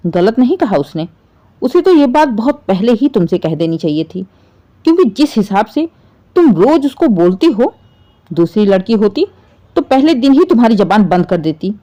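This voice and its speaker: A woman's voice: a little high, warm tone. A woman voicing a stern older father-in-law, a firm and reproachful patriarch in a family drama story.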